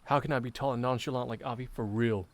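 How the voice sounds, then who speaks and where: A man's voice: mid-range voice, steady tone, fast talker. A man giving slangy, joking, casual livestream commentary on a game. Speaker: streamer playing a visual novel.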